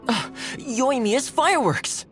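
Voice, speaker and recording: An easygoing young retainer, speaks in a light, friendly voice as a video game character. Music plays behind him. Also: male voice, extremely high pitch, expressive, slow pace, exaggerated voice. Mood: upbeat, relieved. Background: background music.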